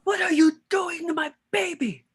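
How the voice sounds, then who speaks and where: A man's voice: very high voice, fairly even intonation, normal speed, over a noisy crowd. A man voicing a scheming, gloating villain of creature fights, taunting and theatrical, in an amateur table reading. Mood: outraged.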